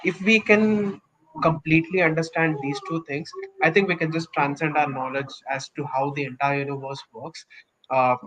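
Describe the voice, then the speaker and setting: A man's voice: on the high side, fairly even intonation, moderate pace, noisy background. A young man from India with an Indian English accent, earnestly explaining his views in a casual podcast discussion. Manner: earnest and opinionated, explains with examples.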